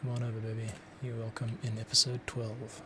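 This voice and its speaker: A man's voice: medium pitch, completely flat delivery, a little fast. A man giving casual work-along commentary as a composer, muttering playful asides to himself. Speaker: music composer giving a screen tutorial. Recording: noticeable background noise, keyboard typing.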